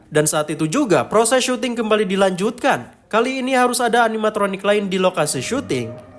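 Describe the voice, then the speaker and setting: A male narrator with a high-pitched voice tells a scripted horror story. Music plays clearly behind the narration. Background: clear background music.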